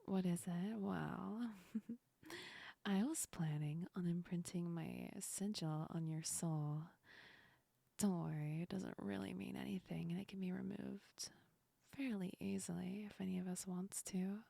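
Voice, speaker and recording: A gentle female sleep demon acted by a woman whispers close to the mic in an intimate ASMR roleplay. Her voice is mellow and very breathy, with a creaky edge. She soothes and reassures slowly, affectionate and a little teasing. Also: lowish voice, fairly even intonation, no room sound, full character voice. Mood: warm, lightly playful. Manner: slow, soothing, reassuring, lightly amused. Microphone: close ASMR microphone.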